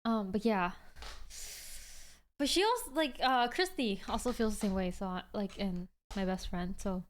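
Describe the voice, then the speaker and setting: A woman's voice: medium pitch, normal speed, a little hiss or hum. A woman in her twenties hosting a livestream, chatting casually with viewers in a rambling way full of "like". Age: 20s. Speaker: livestream host.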